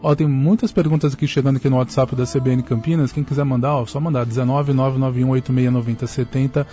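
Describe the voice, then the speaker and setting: A man's voice: mid-range voice, steady tone, fast, dark tone. A man hosting a live radio interview, chatting and reading out listener messages. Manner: conversational, reads out listener messages. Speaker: radio host. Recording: dull lo-fi radio audio.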